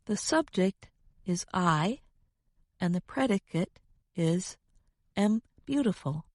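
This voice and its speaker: Slow-paced woman presenting a language lesson. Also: on the low side, animated, no room sound, clean studio sound. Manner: careful, instructional delivery. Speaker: co-host of an English lesson podcast. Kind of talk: scripted educational narration.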